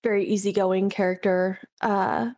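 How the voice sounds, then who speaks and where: A woman with a cold, sniffly, talking casually and haltingly about her tabletop characters, clearing her throat often. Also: medium pitch, level tone, darkish tone. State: sick, sniffly. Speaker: podcast guest.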